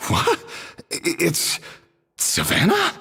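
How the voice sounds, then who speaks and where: A woman's voice: lowish voice, huge pitch swings, slow pace, creaky, fairly bright tone, exaggerated voice. A woman voicing an eager, hesitant, easily surprised food judge in a video game, tentative in manner. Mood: astonished. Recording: long reverb, faint music behind.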